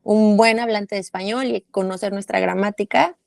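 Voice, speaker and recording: A woman's voice: mid-range voice, steady tone, normal speed, creaky, darkish tone, dry recording, clean studio sound. Mexican woman chatting as interview guest. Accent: Mexican Spanish. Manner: thoughtful, many fillers and restarts. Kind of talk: casual interview.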